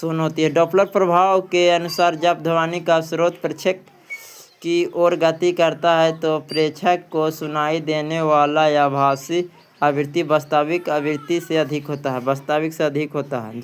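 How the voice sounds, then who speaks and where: A man's voice: on the high side, fairly even intonation, moderate pace, slight background noise. A man reads out practice questions and answers as an exam coaching teacher, repeating phrases for emphasis.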